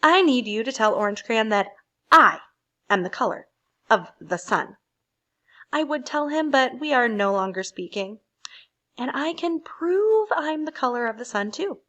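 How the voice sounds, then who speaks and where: A woman's voice: fairly high pitch, lively intonation, measured pace, sharp tone. A woman voicing an indignant, bossy crayon from a picture book, emphatic and boastful. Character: indignant bossy yellow crayon, sure it's right. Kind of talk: picture book read aloud.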